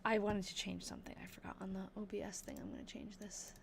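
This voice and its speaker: A young woman with a New England accent, chatting casually on a live stream as she reads an old diary aloud slowly and thinks out loud. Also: medium pitch, flat delivery, gravelly, background noise. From New Hampshire. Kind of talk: casual livestream chat and slow diary reading.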